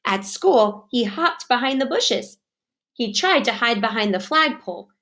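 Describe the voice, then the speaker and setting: Woman, children's read-aloud, slow pace, slightly high pitch, expressive, instructive. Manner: asks listeners simple questions, instructive.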